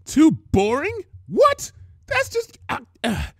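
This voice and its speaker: A man voicing an excitable, playful young female cartoon pony with a bubbly, squealing manner. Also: very high-pitched, takes it slowly, dry recording, studio quality, voice pushed up, heavily acted. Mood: exasperated.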